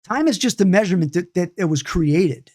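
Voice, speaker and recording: Late-fifties man teaching energy healing, unhurried. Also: high voice, lively intonation, a little mellow. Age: 50s. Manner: loose, repetitive, many restarts and asides. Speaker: meditation and healing instructor. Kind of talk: spoken lesson on energy healing.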